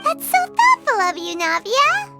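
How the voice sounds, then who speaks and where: An actress voicing a tiny, childlike, excitable and supportive companion with a squeaky voice in a video game. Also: female voice, measured pace, vocal fry, exaggerated voice. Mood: grateful. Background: loud background music.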